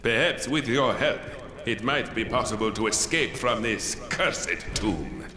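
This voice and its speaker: An actor playing a grave ancient hero in a video game, speaking in a stately, archaic way. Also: male voice, on the low side, expressive, measured pace, crowd noise. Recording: echoey reverb under music.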